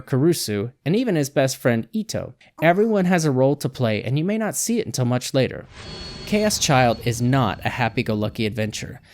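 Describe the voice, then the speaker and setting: A man reading a scripted video game review as a voice-over narration. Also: medium pitch. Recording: music behind the voice. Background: background music.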